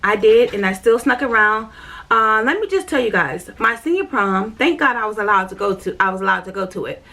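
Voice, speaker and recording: A woman's voice: mid-range voice, animated, fairly quick, vocal fry, pushed and bright. A woman vlogger telling her own life story in a candid, rambling way, full of fillers and repeats, talking straight to her viewers. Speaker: vlogger sharing her spiritual journey. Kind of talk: casual personal storytelling.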